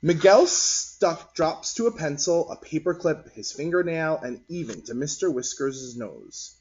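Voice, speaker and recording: A man reading a picture book aloud to children in an animated, husky voice. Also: fairly high pitch, a little slow. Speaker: read-aloud storyteller. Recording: dull, lo-fi recording.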